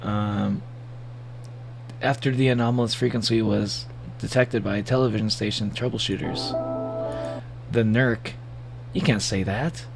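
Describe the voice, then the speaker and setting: A man with a gravelly voice giving a casual livestream reaction, rambling and joking playfully as he comments and reads bits aloud. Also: on the low side, steady tone, measured pace, pauses often, over music. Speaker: streamer reacting to horror videos.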